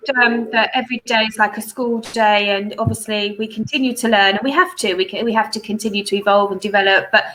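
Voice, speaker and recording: A woman's voice: medium pitch, fairly even intonation, quick pace, hardly any pauses, a bit bright, a little hiss or hum, low-quality recording. A woman hosting an interview, asking a question and rephrasing it for viewers. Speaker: host.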